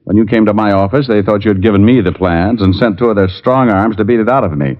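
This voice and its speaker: An actor playing a tough, wry private detective with an American accent and a dry wisecracking manner, in an old radio drama. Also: male voice, fairly low pitch, fast talker, lightly acted. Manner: wisecracking, dry, plain-spoken. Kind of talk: 1940s-50s radio drama acting. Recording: dull, lo-fi old radio recording.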